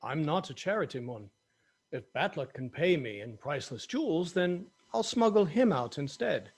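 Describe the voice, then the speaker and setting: A man plays a mercenary pirate captain in a fantasy comedy. His highish voice is animated, sly and unapologetic, recorded close and dry. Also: moderate pace, slightly rough, no echo, heavily acted. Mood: smug. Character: Naughty, smug mercenary male human pirate captain. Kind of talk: table read acting.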